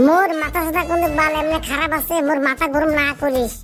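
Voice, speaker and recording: Squeaky, brash cartoon schoolboy. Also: male voice, fairly even intonation, fast, a bit bright, soft background music. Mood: boastful, brash. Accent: northern Bengali. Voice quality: artificially high, childlike. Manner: brash, cursing, bickering. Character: cheeky, hot-tempered, foul-mouthed cartoon schoolboy. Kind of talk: comedy cartoon voice acting.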